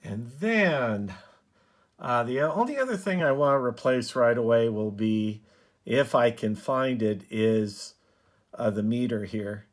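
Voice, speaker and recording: A man giving a casual, unscripted show-and-tell as a hobbyist, halting now and then as he thinks aloud. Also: medium pitch. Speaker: hobbyist presenter of a radio repair video.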